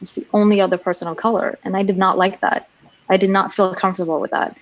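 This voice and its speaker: A woman's voice: medium pitch, level tone, fast, lo-fi sound. A woman from Sweden, a sales professional speaking frankly on a panel, often restarting her sentences. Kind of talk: casual panel discussion.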